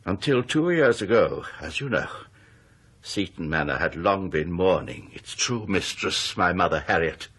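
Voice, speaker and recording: Haughty, eccentric country squire, radio drama. Bright forceful edge, precise declamatory phrasing, very varied pitch. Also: male voice, lively intonation, unhurried, husky, heavily acted. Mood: solemn, formal. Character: embittered eccentric country gentleman, haughty and cryptic. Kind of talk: 1990s British radio drama acting.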